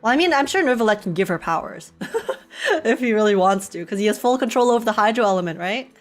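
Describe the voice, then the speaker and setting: A woman's voice: mid-range voice, animated, fast talker, soft background music. A young woman chatting casually as she livestreams a game, with a giggly, slangy manner. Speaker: live streamer.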